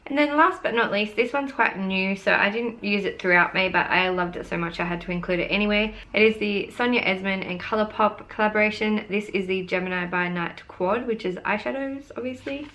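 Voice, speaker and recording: A young woman with a hoarse, fading voice, chatting eagerly through her beauty favourites as a vlogger. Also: mid-range voice, a little fast, few pauses, pushed and bright. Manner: chatty and gushing, showing products to camera. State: losing her voice. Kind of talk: casual product review vlog.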